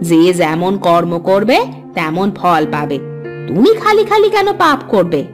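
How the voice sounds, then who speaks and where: A woman playing a gentle, honest and sensible village wife in a Bengali cartoon. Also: fairly low pitch, wildly swooping pitch, measured pace, soft background music. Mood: gently admonishing. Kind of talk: Bengali moral cartoon voice acting.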